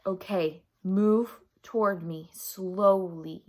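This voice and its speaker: A woman's voice: medium pitch, steady tone, drawn-out words. A woman reading aloud as a cautious boy giving urgent instructions in a storybook. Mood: urgent, hushed.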